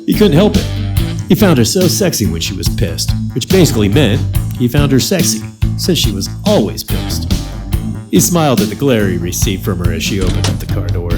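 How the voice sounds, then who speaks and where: A man's voice: fairly low pitch, very animated, a little fast, over loud music. A man narrating an adult comedy radio drama, reading scripted storytelling with wry, crude humour.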